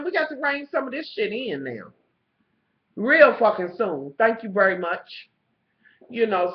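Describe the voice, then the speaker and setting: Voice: high voice, roomy sound. A TV show reviewer with a stuffed-up nose, recapping an episode in gossipy, profane storytelling and calling the viewer honey. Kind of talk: casual episode recap vlog. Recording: slightly muffled lo-fi recording.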